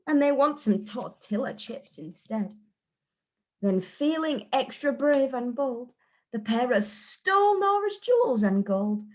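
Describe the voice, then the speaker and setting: A woman reads a rhyming tale for young children. Her voice sits fairly high and she goes very slowly, with a deliberate, sing-song lilt like a teacher at story time.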